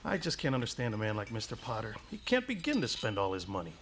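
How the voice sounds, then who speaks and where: A man's voice: on the low side, fast talker, background noise. An actor playing a restless, ambitious young man who talks earnestly in a radio play. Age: young adult. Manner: earnest, animated.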